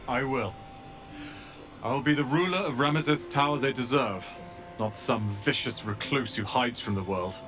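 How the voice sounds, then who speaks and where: A young man playing an earnest, resolute wizard's apprentice with a wounded past in a dramatic video game, speaking in a measured, emotional way. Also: medium pitch, over music, close to an everyday voice. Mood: resolute, grateful.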